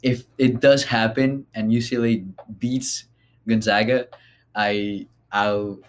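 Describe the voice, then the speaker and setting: A man hosting a casual sports talk, excited about the games and turning questions to the others. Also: mid-range voice, slow pace. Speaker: host.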